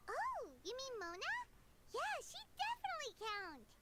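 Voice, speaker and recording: A woman voicing a tiny, chirpy, childlike female fairy companion in an anime video game, eager and blunt with a squeaky tone. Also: wildly swooping pitch, normal speed, bright, forceful tone, a little hiss or hum, exaggerated voice.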